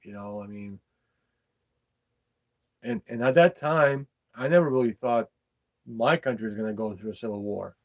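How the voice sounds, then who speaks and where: A middle-aged man, talking casually and bluntly about his opinions, halting and repeating his words. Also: medium pitch, vocal fry. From former Yugoslavia. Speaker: podcast host.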